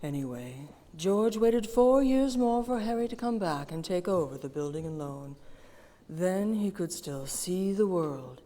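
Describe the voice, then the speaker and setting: A woman in a live stage radio play, playing a calm figure who narrates the story. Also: lowish voice, measured pace, big-room sound. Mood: storytelling. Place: theater stage.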